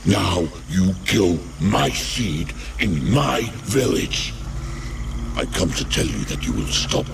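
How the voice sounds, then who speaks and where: Very deep, gravelly creature shaman. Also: sing-song, distant mic, background noise, heavily acted. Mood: threatening. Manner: solemn, halting, formal declarations. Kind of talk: fantasy video game voice acting.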